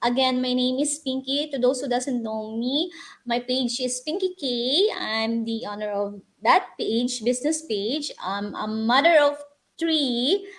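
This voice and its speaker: A woman from the Philippines co-hosting a casual online chat, friendly and halting, with many fillers. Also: fairly high pitch. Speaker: co-host. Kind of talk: casual live-stream chat.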